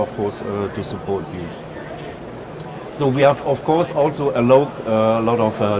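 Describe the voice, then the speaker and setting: A man from Germany with a German accent, giving a conference presentation, pausing often with filler sounds and restarting sentences. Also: medium pitch, noisy background. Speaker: conference speaker.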